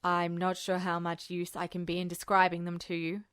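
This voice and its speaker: A woman's voice: lowish voice, completely flat delivery, normal speed, fairly bright tone, clear, resonant tone, dry recording, clean studio sound, voice pushed down, natural voice. A woman voicing a guarded, haunted young man with subdued delivery in acted audiobook dialogue. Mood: cold, withdrawn.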